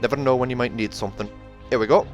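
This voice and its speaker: Man putting on a gruff, blunt fantasy dwarf. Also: medium pitch, steady tone, racing, faint music behind, voice pushed up, lightly acted. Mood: gruff, wary. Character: gruff, suspicious male dwarf adventurer.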